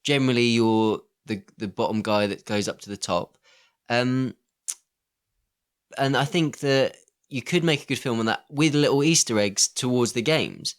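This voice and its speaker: A man's voice: medium pitch, normal speed. A man co-hosting a podcast in casual film chat, conversationally posing questions and giving his opinions. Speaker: podcast co-host.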